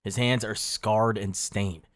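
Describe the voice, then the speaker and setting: A man with a fairly low voice narrates a scripted history story addressed to the listener. His delivery is darkly wry and dramatic, and the sound is dry and close. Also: steady tone, slow pace, a bit bright, no room sound. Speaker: history explainer video narrator. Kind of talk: scripted second-person narration.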